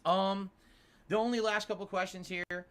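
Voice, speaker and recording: A man's voice: sits high, fairly even intonation, moderate pace, crowd noise. A man from Connecticut with an American accent, hosting a casual livestream and chatting through viewer messages. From Connecticut, United States. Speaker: livestream host. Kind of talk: casual livestream chat, answering tips.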